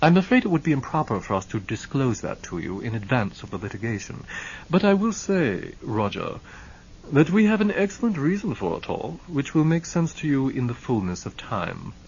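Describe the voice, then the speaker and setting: A man playing a calm, smooth lawyer. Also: medium pitch, muffled recording, slight background noise, pitched above the performer's own voice. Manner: measured, composed.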